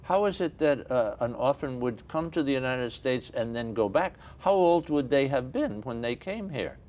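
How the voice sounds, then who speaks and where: A man's voice: medium pitch, animated, moderate pace, darkish tone. A man hosting a studio interview, asking eager, encouraging follow-up questions. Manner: curious, encouraging, asks short follow-ups. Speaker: TV interview host.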